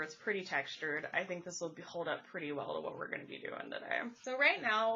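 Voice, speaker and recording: A woman who is congested and a little raspy, chatting casually about art supplies while she draws at home. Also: fairly low pitch, bright, forceful tone, highs cut off. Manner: chatty, thinking aloud while drawing. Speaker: art vlogger. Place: home, indoors at a table. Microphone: camera overhead pointed at the paper. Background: furnace and cat noises.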